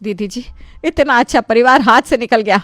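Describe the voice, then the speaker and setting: A woman's voice: a little high, moderate pace, fairly bright tone, no echo, studio quality, pitched above the performer's own voice, lightly acted. A woman voicing an exasperated housewife in a storybook tale, firm and reproachful. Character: exasperated, determined housewife and sister-in-law.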